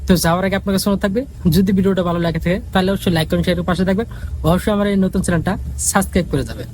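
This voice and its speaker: A man's voice: high voice, very fast, darkish tone, slight background noise. A man giving a casual, repetitive step-by-step app tutorial as the host. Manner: repetitive, instructional.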